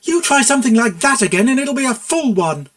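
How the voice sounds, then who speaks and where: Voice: high-pitched, sing-song, fairly quick, fairly bright tone, pitched above the performer's own voice. A performer voicing an exasperated, blunt cartoon mare in a story reading. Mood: indignant. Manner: hesitant muttering or indignant outbursts. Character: exasperated, blunt young mare with a short temper.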